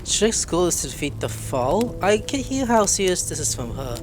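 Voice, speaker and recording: A woman gives joking, foul-mouthed game commentary. Her voice is low and swings widely in pitch, with game music behind it. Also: very animated, moderate pace. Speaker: gameplay video host. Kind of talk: playthrough commentary with read-aloud dialogue and joking.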